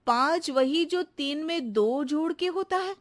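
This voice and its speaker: A woman's voice: high voice, measured pace. A woman playing a teasing, exasperated housewife who scolds her maid in a comic cartoon story. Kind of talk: comic animated story dialogue.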